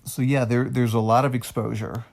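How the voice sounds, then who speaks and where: A man answering interview questions as a guest, halting and restarting often, with dry humor. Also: medium pitch, little pitch movement, gravelly. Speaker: guest, long-distance hiker.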